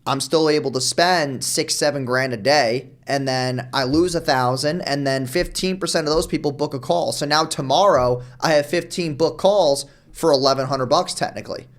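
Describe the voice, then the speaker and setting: A young man from New York, a guest on a podcast, talking fast and casually, throwing in "dude" and "like" often. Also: a little high. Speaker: guest, marketer.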